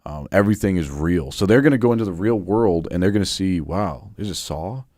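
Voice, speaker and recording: A man's voice: low-pitched, animated, a little fast, darkish tone, no echo, clean studio sound. A man co-hosting a livestream chat, talking casually with wry, joking asides. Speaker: co-host.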